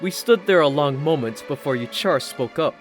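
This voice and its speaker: A man's voice: on the high side, animated, normal speed. A man reading a scripted first-person story as an audiobook narrator. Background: background music.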